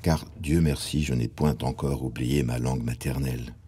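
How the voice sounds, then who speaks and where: A formal 18th-century scholar. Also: male voice, extremely low pitch, fairly even intonation, dark tone, slightly rough. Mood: warm, formal. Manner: formal, measured, dignified. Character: 18th-century male mathematician writing fondly to his brother. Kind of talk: read-aloud historical letter.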